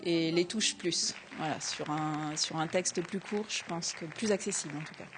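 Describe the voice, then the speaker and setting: A woman's voice: deep voice, normal speed, background noise. Woman teacher talking, faint room ambience. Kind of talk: interview answer.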